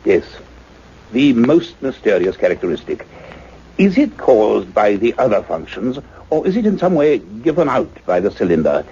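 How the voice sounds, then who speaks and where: A man's voice: fairly low pitch, very animated, moderate pace, warm tone, breathy edge, full character voice. An actor playing an earnest male scientist, speaking in a precise, formal radio-play manner. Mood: pondering, intent. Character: earnest male scientist doctor narrating his investigation. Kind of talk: radio play acting and narration. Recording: dull, lo-fi radio drama recording.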